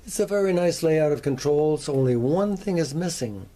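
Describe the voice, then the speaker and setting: An older American man with a fairly high but soft, mellow voice. He presents in a folksy, measured way, speaking straight to his viewers as a hobbyist showing off his gear. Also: animated. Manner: measured, addresses viewers directly with rhetorical questions. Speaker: presenter, antique radio hobbyist. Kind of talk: folksy show-and-tell presentation to camera. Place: home workshop.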